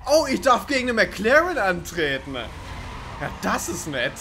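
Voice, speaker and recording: Higher-pitched man, sarcastic gaming commentary. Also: big pitch swings, a little slow, noisy background. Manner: sarcastic, chuckling asides. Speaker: let's play commentator. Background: game music and car sounds.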